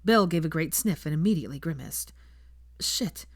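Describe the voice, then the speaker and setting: Expressive woman reading fiction aloud. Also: sits low, fast talker, no room sound, clean studio sound. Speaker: audiobook narrator. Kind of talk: scripted fiction narration with character voices.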